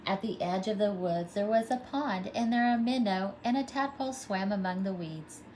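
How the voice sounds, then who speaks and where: A woman's voice: mid-range voice, normal speed, a little mellow, slight background noise, rough recording. A woman pre-K teacher reading a storybook aloud to young children, expressively and with simple explanations.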